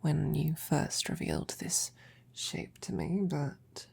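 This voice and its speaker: A woman playing a devoted, tenderly teasing royal knight in an intimate roleplay audio, with breathy, often whispered, courtly speech. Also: low-pitched, big pitch swings, darkish tone, heavily acted. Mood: teasing, affectionate. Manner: slow, tender, courtly phrasing.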